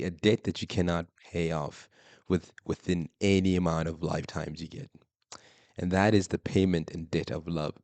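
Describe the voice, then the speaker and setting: Hoarse, deep-voiced man hosting a faith podcast. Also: a little mellow, no echo. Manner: repetitive, emphatic, many fillers. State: hoarse, lost voice. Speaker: podcast host. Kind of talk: solo faith podcast talk.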